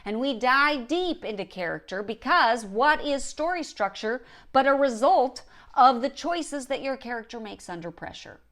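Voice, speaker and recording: A woman's voice: sits high, animated, normal speed, fairly bright tone. A woman giving an instructional talk as a writing coach, speaking directly and emphatically, repeating key points for stress. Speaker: writing coach and show host.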